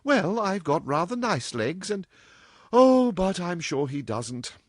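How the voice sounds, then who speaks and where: A man puts on a mischievous young woman's voice, pitched well above his own and bouncing with cheek. She is impudent and playful, delivered in a dry, close recording. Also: big pitch swings, takes it slowly, dry recording, exaggerated voice. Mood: teasing.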